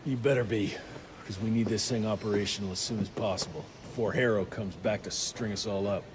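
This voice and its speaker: A man's voice: lowish voice, steady tone, a little fast, noisy background, natural voice. Man acting blunt, impatient frontier monster hunter. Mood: impatient, curt. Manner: blunt, demanding, dismissive. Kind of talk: video game cutscene acting. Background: music.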